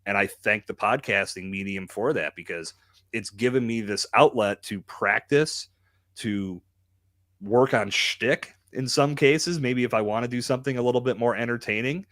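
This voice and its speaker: A man's voice: medium pitch, normal speed, a bit bright, no echo. A man hosting a live podcast, talking in a candid, emphatic, colloquial way into a close microphone. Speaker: podcast host. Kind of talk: live conversational podcast talk.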